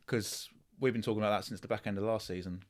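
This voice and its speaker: Low-voiced man talking football. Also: steady tone, fast. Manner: blunt, offhand verdicts. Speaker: podcast co-host. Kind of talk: casual sports chat.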